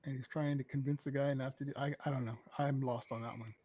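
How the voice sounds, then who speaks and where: A man co-hosting a casual podcast, loud, with quick exclamations and swearing. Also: medium pitch, steady tone, breathy edge, no room sound. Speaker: podcast co-host. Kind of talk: casual chat.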